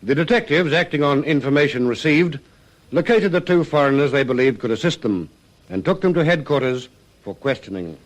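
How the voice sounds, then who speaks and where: An actor playing the narrator of a detective serial, giving scripted dramatic narration. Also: male voice, a little high, lively intonation, fairly quick, lightly acted, poor audio. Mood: suspenseful. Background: music.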